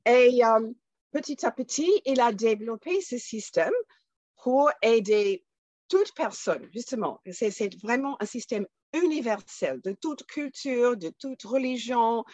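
A woman's voice: a little high, lively intonation, unhurried, a little mellow, no room sound. A woman with a foreign accent in French, explaining things conversationally as an interview guest and instructor.

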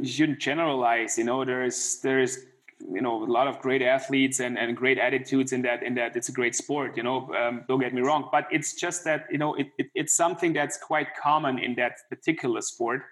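A man's voice: medium pitch, steady tone, a little fast, a bit bright, rough recording. A middle-aged man, a former hockey player, talking in a casual interview with many restarts and a lot of "you know.". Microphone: remote call.

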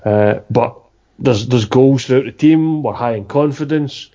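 Guy on a footie podcast, stops and restarts a lot, middle pitch. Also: measured pace, dark tone. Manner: hesitant, many restarts. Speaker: podcast co-host. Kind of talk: casual football chat. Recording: dull lo-fi sound.

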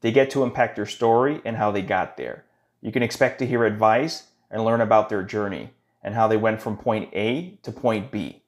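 A man's voice: fairly low pitch, normal speed. A man speaking as a podcast host, introducing his show and guest and leading an interview.

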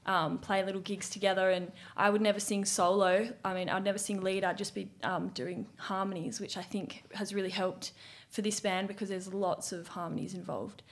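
Brief: giggly, rambling young Australian woman, mid-pitched, easygoing musician guest. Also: steady tone, normal speed. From Western Australia. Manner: chatty, giggly, trails off with fillers. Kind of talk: casual interview chat.

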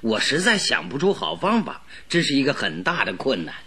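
A man's voice: medium pitch, huge pitch swings, a little fast, sharp tone, breathy edge, full character voice. A man playing a polite detective in a radio drama, courteous and feigning helplessness. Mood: mock-humble. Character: polite mock-humble male detective.

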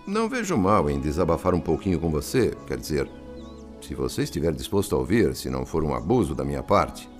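An actor with a Brazilian accent playing a compassionate, respectful male spirit and worried father, in gentle, formal radio-drama style. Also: very deep voice, very animated, a little fast, faint music behind. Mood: troubled, confiding. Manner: gentle, formal, earnest, considerate.

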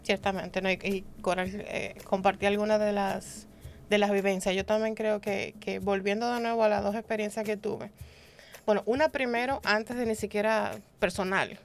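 A woman's voice: medium pitch, fairly even intonation, moderate pace, soft background music. A woman from the Dominican Republic on a panel, telling stories with many restarts and tag questions. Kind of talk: conversational panel discussion.

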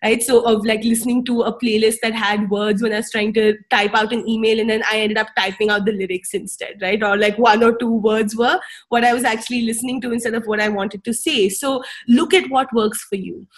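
A young woman, a singer whose first language is Tamil, talks in a loose, chatty way, with steady fillers and questions tacked onto her sentences. Her voice sits a little high for a woman. She sounds like she is giving friendly advice. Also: brisk. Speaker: speaker sharing wellbeing advice. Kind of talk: casual advice talk.

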